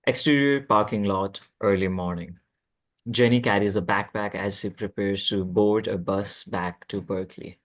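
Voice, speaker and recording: Male stage-direction reader, lower register, halting table-read delivery with repeated words. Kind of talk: scripted narration at a table read.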